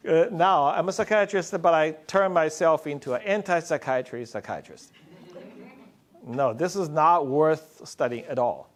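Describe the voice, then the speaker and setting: A middle-aged male psychiatrist giving a conference talk, speaking loudly and slowly and joking with the audience. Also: on the high side. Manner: loud, slow-paced, joking with the audience.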